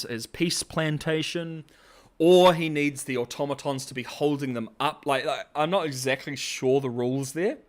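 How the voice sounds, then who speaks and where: A young man hosting a casual livestream, talking in a loose, rambling way. He fills his sentences with "like" and "uh" and breaks into laughter often. His voice sits at an ordinary middle pitch for a man. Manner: animated, laughs often, filler-heavy rambling. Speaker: host.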